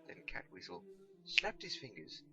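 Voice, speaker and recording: A man reading a storybook aloud as an expressive narrator. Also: on the high side, a little slow, gravelly, soft background music. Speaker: audiobook reader.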